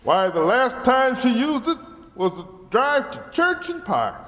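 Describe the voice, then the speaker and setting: High, swooping, folksy used-car salesman. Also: very animated, a bit bright, heavily acted. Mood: smooth salesmanship. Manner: theatrical, drawn-out sales patter. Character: folksy fast-dealing used-car salesman, smooth and shameless. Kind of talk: old-time radio comedy acting. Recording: echoey hall with long reverb.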